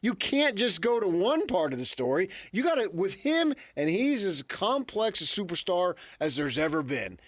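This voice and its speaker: High-voiced, animated male sports co-host. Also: normal speed, hardly any pauses, no echo, studio quality. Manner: emphatic, repeats his points. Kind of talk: opinionated sports debate.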